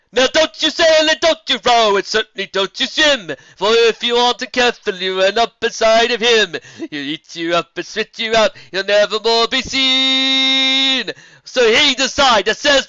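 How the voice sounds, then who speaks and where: A man voicing a mysterious female zebra bard who sings her warnings in slow, drawn-out rhyme, on a dull lo-fi recording. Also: very high-pitched, bright, forceful tone, no room sound, pitched above the performer's own voice, heavily acted. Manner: sung, rhyming and slow.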